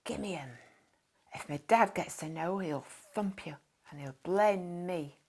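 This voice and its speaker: A woman's voice: fairly low pitch, slow pace, a little mellow, voice pushed down. A woman voicing a blunt, know-it-all schoolboy, the older brother in a children's story. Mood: wary, bossy.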